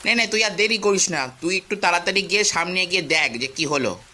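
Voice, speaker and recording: A man's voice: high-pitched, a little fast, pushed and bright, slight background noise, pitched above the performer's own voice. A man voicing a fussy, caring elderly village uncle in a cartoon, speaking in a folksy, urging way. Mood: concerned, urging. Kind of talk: cartoon voice acting.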